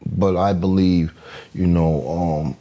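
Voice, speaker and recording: A man hosting a podcast interview, halting and earnest, with many fillers and restarts. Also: sits low, flat delivery, mellow. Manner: halting, many fillers and restarts, says "you know what I'm saying"; devout, earnest. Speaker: podcast host. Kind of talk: interview conversation.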